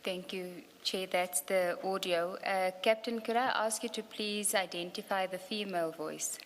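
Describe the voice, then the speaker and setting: A woman leading evidence at a formal hearing, asking polite, measured questions. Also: mid-range voice, steady tone. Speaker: evidence leader at a commission hearing. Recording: reverberant hearing room, faint noise.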